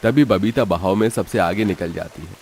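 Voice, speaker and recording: A man's voice: deep voice, moderate pace, darkish tone, slight background noise. A man narrating a scripted, dramatic story.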